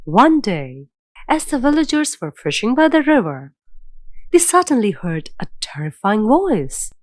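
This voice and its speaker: A woman narrating a scripted children's fairy tale in a measured, dramatic storytelling manner. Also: on the high side, sing-song, no room sound.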